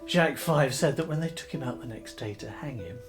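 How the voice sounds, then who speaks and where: An actor playing a weary, embittered but loyal revolutionary husband in a theatrical period drama, speaking gravely with halting emphasis. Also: male voice, mid-range voice, close to an everyday voice. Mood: grave indignation. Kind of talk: dramatised classic novel, theatrical acting. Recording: guitar music behind the voice.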